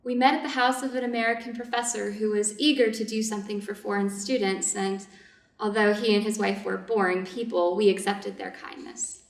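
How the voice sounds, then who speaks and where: A woman's voice: medium pitch, steady tone, a little fast, gravelly, natural voice. A woman reading the part of a Japanese surgeon's wife in a staged story, proper and practical, with formal, careful phrasing. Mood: composed. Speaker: reader's theater performer. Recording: echoey room, distant microphone.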